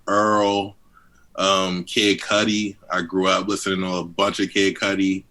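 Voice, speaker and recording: Deep-voiced young man from D.C. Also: little pitch movement, measured pace, pushed and bright. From Southeast Washington, D.C. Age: 20s. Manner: laid-back, heavy on fillers, chuckles often. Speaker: guest rapper. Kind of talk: casual interview chat.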